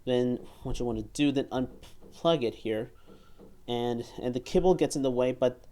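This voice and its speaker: Man in his twenties, soft mellow tone, enthusiastic reviewer, halting delivery, repeated words, casual. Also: medium pitch. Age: 20s. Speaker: toy reviewer. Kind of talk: casual hands-on product review. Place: small bedroom, indoors.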